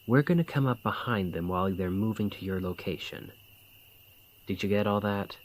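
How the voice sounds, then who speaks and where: A man acting a bandit leader in an ASMR fantasy roleplay, speaking in a colloquial, deliberate, conspiratorial manner. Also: lowish voice, lightly acted. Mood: commanding, hushed planning. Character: gruff but caring male bandit leader, scheming, informal. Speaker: voice actor in audio roleplay.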